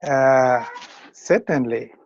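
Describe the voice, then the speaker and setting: An older man in his sixties with a Ugandan accent, giving measured, formal interview answers as a school founder. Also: mid-range voice. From northern Uganda. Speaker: school founder and retired teacher. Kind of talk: interview answers on a video call. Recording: muffled lo-fi call audio.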